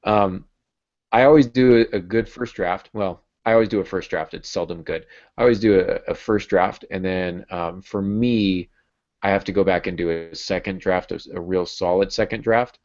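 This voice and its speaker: A middle-aged American man with a very low voice talks in an easygoing, joking podcast style. The sound is dry and a little dull, as on a video call through an earbud headset. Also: moderate pace, a little mellow, no echo. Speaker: podcast host and novelist. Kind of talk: casual conversational podcast chat. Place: small home room with bookshelf.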